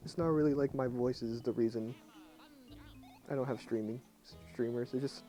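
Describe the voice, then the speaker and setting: A young man talks in a low, hushed voice, chatting casually to viewers while he plays. His tone is mellow and relaxed. Faint game music runs underneath. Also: moderate pace. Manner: quiet and subdued, talking softly at night. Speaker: streamer. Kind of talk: casual live game commentary.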